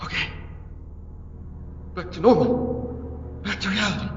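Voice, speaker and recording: A man playing a frightened, desperate pupil trapped in a nightmare, halting and pleading, in a horror audio drama. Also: high-pitched, steady tone, a little hiss or hum. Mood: desperate urging. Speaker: actor. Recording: dull, echoey hall reverb over music.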